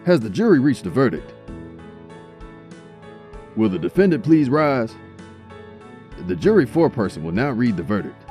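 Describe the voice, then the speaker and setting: A man playing an authoritative judge in an animated comedy, formal and commanding. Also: mid-range voice, normal speed, long pauses, faint music behind, lightly acted. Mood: official. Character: authoritative male courtroom judge. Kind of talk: animated comedy courtroom dialogue.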